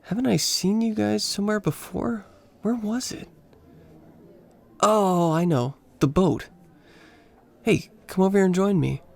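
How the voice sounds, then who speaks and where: A man's voice: high-pitched, measured pace, vocal fry, pauses often, darkish tone. An actor playing a lovelorn, self-mocking American man on an island vacation in a radio play, with an American accent. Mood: tipsy, friendly.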